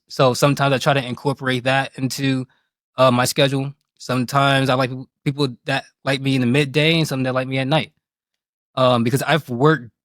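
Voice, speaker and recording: A man in a casual interview, talking candidly and reflectively in colloquial language. His voice sits at an ordinary male pitch. The sound is dry, with no room around it. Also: a bit bright, dry recording. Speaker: guest, game streamer.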